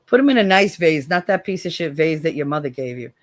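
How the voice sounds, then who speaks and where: A woman's voice: expressive, fast talker, dry recording. An American woman hosting a casual livestream, reading viewer comments and talking bluntly, with profanity and sarcastic jabs. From United States. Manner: blunt and profane, swings from low weary tones to sharp sarcastic jabs. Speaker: livestream host.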